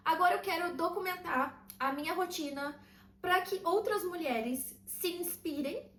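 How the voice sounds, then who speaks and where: A woman, calmly talking to camera in a casual vlog and laughing lightly now and then. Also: very high voice, unhurried, roomy sound. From southern Brazil. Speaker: vlogger and routine mentor.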